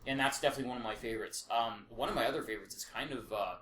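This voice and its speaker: A man's voice: medium pitch, rapid-fire, fairly bright tone. Man chatting casually in an echoey room. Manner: sweary, emphatic opinions. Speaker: podcast co-host. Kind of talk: casual podcast chat. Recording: roomy echo, faint background noise.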